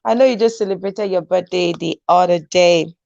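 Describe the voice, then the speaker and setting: A woman's voice: lowish voice, moderate pace, gravelly, a little mellow, dry recording, lo-fi sound. A woman hosting a live online talk show, reading viewer comments aloud and encouraging her guest, laughing often.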